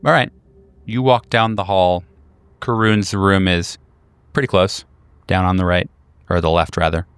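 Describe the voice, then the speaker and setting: A man narrating a tabletop horror game as game master, in a measured, descriptive storytelling manner. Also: sits low, steady tone, a bit bright, smooth, no room sound.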